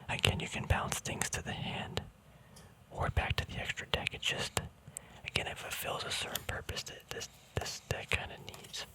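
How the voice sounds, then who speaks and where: A man speaking in a breathy, unvoiced whisper, rambling casually in ASMR style as if thinking aloud. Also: normal speed, bright, forceful tone, rough recording. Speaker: ASMR video creator. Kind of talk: ASMR, casual rambling commentary on a card deck.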